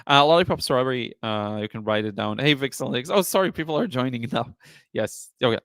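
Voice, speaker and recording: Male live stream host, mid pitch, slightly hoarse, rambling and thankful, chatty delivery, very dry room. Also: expressive, fairly quick, fairly bright tone, dry recording. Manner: rambling, appreciative, often thanking viewers. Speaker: live stream host, watercolor painting teacher. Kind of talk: casual chatty live stream, answering viewer chat.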